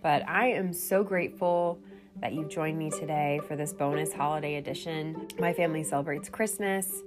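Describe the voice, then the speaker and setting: A low-pitched woman hosts her own podcast, her voice a little congested from a cold. She speaks earnestly and directly to her listeners in a personal monologue, with faint music underneath. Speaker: podcast host.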